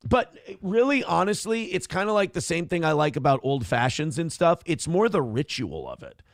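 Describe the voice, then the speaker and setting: A man in his late thirties talks casually, cursing and correcting himself as he goes. His voice sits a bit high for a man and swings widely in pitch. The sound is dry and close. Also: moderate pace, creaky, dry recording. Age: 30s. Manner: animated, swearing, self-correcting. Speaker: co-host. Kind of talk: casual chat.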